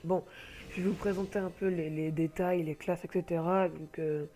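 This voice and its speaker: A man's voice: high voice, little pitch movement, normal speed, mellow, a little hiss or hum. A man with a cold, talking casually and hesitantly over gameplay from a home computer mic, rambling with many filler sounds. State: has a cold. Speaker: gamer presenting an online game.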